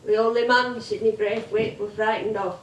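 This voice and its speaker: An actress on stage playing a chatty, warm-hearted old music hall comedienne with an effusive, wry manner. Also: female voice, on the high side, steady tone, normal speed, a little hiss or hum, lightly acted. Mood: wry, nostalgic. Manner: effusive, wry, given to endearments. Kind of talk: theatrical stage acting. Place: theatre stage. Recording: echoey theatre stage from far off.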